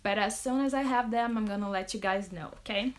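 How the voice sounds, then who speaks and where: A woman's voice: medium pitch, a little fast. A woman author from Brazil, casually answering fan questions on a phone live stream, chatty with many fillers and laughs. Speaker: romance author. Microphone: phone on a social media live stream.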